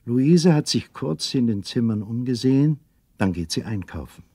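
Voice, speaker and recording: A man narrating a children's radio play as a storyteller, in a measured, deliberate manner. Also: mid-range voice, expressive, warm tone, breathy edge, no echo, studio quality. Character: calm male storyteller addressing young listeners.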